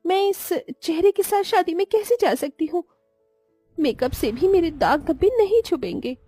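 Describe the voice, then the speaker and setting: A woman voicing a haughty, quick-tempered young city daughter-in-law in a cartoon, scornful and mixing in English. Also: extremely high pitch, animated, dry recording, faint music behind. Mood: upset, disdainful. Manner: scornful, sharp-tongued, mixes in English. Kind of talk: cartoon moral story voice acting.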